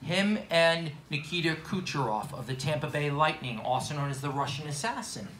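A middle-aged man holds a handheld microphone at a restaurant table and builds his argument point by point in an earnest tone. His voice sits slightly high and bright, and the room adds a noticeable echo. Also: animated, moderate pace, a little hiss or hum. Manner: earnest, declarative, lists points. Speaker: guest co-host. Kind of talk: casual sports talk show. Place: small restaurant dining room, seated at a table. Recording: echoey room.

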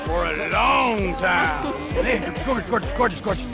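A volatile middle-aged criminal from a video game, high-voiced and wildly animated. He talks in mocking, foul-mouthed taunts with erratic swagger. Music plays behind him. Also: normal speed, creaky. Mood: manic. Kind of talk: video game cutscene acting. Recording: background music and game ambience.

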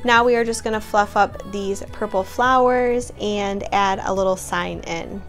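A woman's voice: medium pitch, steady tone, measured pace, soft background music. Chatty young woman vlogger. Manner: upbeat, chatty, addresses viewers directly. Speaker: home vlogger. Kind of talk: casual voice-over narration.

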